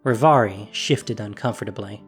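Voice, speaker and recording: A narrator reading a fantasy story in a measured, wry manner. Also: mid-range voice, soft background music.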